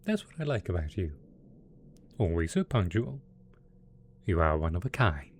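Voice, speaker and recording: A gleeful mad scientist villain boss, acted by a man, speaks in a low voice that swings widely in pitch. He is theatrical and smug, doting with playful false innocence, as in a scripted roleplay drama. Faint noise sits behind the voice. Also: very animated, moderate pace, darkish tone. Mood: feigned innocence.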